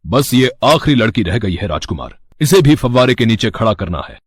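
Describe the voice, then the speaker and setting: Guy doing a dutiful palace army chief, fairy tale, formal, raspy, dry sound. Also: lowish voice, sing-song, fairly quick, dry recording. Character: dutiful royal army commander reporting to prince.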